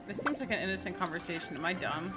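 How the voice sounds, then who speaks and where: A woman's voice: lowish voice, lively intonation, fast. A woman doing casual livestream game commentary, chatty, reactive and slangy. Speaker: game streamer. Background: game music.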